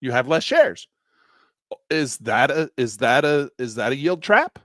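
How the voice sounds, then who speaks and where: Older male educator, lively and exasperated, dry close recording. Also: unhurried, no echo. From Southern Utah. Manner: animated and exasperated, mocks critics in a high squeaky voice, drops low to grumble. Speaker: trading educator. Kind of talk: live-stream trading lesson, off-the-cuff rant.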